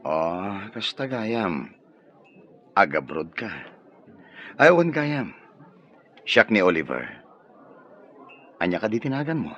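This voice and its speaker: An actor playing an eager, flirtatious, easily smitten bachelor, hesitant and solicitous, with an Ilocano accent in radio drama style. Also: male voice, on the low side, sing-song, slow pace, long pauses, fairly bright tone, highs cut off, exaggerated voice. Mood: eager, courting. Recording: faint noise and ambience.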